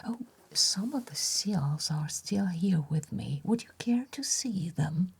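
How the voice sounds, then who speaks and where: A woman playing a gentle, nostalgic bookshop keeper in a breathy ASMR roleplay whisper, with genteel, deliberate phrasing. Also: fairly low pitch, animated, heavily acted. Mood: quiet delight.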